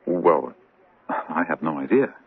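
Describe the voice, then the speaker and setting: A man's voice: very deep voice, animated, takes it slowly, slightly rough, dry recording, heavily acted. A man playing a deferential, evasive junior bank assistant in an old-time radio drama, polite and hesitant. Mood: guarded. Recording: tinny band-limited radio drama recording.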